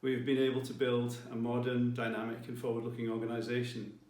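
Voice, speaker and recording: A man giving a formal ceremonial address as a college leader, with measured, deliberate phrasing. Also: mid-range voice, monotone, a little mellow. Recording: roomy, distant mic, faint music behind.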